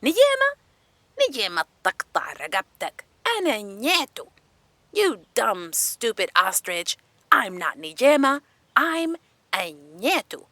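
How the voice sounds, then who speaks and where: A woman plays a selfish, scornful young girl from a folktale. Her voice leaps high as she snaps and yells, bratty and demanding, with lively swings in pitch. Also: slow, no room sound, full character voice. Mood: angry, scornful. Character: rude, selfish, hot-tempered girl in a folktale.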